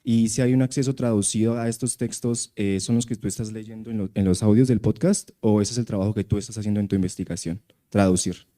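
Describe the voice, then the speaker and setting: A man's voice: fairly low pitch, fairly even intonation, racing, mellow. A man asking a question from the audience at a conference, hesitantly. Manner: hesitant, switches into Spanish.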